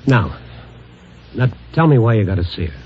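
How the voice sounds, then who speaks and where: An actor playing a kindly, patient newspaper reporter in an old radio drama, speaking plainly and reassuringly. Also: male voice, fairly low pitch, big pitch swings, normal speed. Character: calm, kindly newspaper reporter, steady friend. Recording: dull, noisy vintage radio recording.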